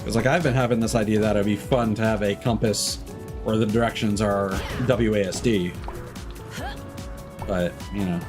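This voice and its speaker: A man's voice: on the low side, steady tone, moderate pace. Rambling male game streamer over music. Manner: rambling, thinking aloud. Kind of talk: casual live gameplay commentary.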